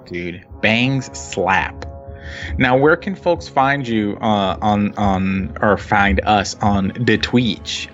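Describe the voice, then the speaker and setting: Slow-talking laid-back guy. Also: on the low side, a bit bright. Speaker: co-host guest. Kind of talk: casual banter. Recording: dull lo-fi mic with faint music.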